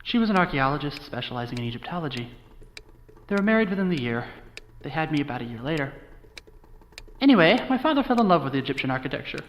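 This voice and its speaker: A man's voice: a little high, big pitch swings, fast talker, a little hiss or hum. An actor playing a wry, guilt-ridden young American man recounting his family history in an audio drama. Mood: wry, reminiscing. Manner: wry, sardonic, confiding. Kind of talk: audio drama monologue, conversational storytelling.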